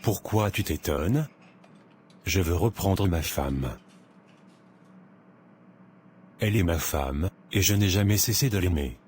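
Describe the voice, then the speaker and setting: Man acting a low-voiced, insistent ex-husband. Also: animated, a little slow, a little mellow, a little hiss or hum. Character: smitten, pushy divorced man wanting his wife back.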